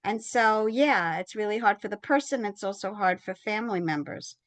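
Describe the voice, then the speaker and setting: A woman co-host speaks emphatically in a relaxed conversation, sometimes rushing through a phrase in a quick burst. Her voice sits at a medium pitch. The recording is dry and close, with no room echo. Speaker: bereavement specialist, co-host. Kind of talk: casual heartfelt conversation.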